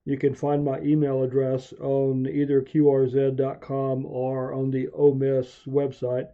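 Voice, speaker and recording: A man narrating a software tutorial as a hobbyist, explaining things in a methodical, measured way. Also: mid-range voice, monotone. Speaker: amateur radio hobbyist hosting video tutorials. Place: home radio shack. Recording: dull, muffled lo-fi voice track.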